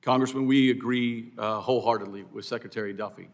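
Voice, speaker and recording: A man with a medium-pitched voice gives formal testimony as an expert witness. His delivery is earnest and emphatic, addressed to officials in a hearing. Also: steady tone, quick pace. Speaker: air traffic controllers' representative, hearing witness. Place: hearing room.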